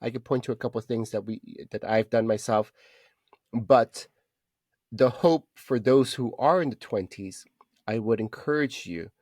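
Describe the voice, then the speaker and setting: A man's voice: mid-range voice, normal speed, a little mellow, no echo, studio quality. A man in his thirties chatting casually as a podcast co-host, encouraging and repeating phrases for emphasis. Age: 30s. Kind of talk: casual chat.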